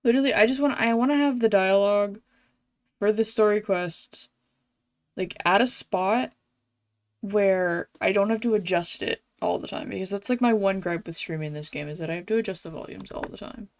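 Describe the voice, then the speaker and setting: A woman's voice: mid-range voice, moderate pace, no room sound, clean studio sound. A woman game streamer chatting casually to viewers as she plays. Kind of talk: casual livestream chat while playing a game.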